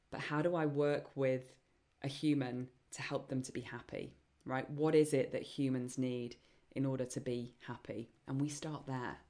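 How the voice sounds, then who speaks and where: A woman hosting a live online Q&A session, chatty and enthusiastic, with many ums and a voice getting croaky. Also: extremely low pitch, mellow. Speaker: webinar host and program founder.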